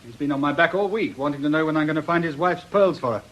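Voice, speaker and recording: Genial inspector, husky higher voice. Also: male voice, a bit bright, highs cut off. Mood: genial bustle. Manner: affable, brisk, conversational. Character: genial, dry middle-aged police inspector. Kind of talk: radio drama acting.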